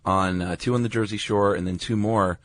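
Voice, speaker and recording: A low-pitched American man chats as a podcast co-host. He explains history in a laid-back, colloquial way, and the recording is dry and close. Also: steady tone, a little fast, no echo. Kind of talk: casual chat explaining history.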